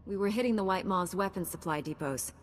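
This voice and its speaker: A woman's voice: mid-range voice, brisk, natural voice. A woman playing a composed, resolute knight heroine in a sci-fi video game, speaking directly. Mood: calm. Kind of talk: video game cutscene acting. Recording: long reverb, faint background noise.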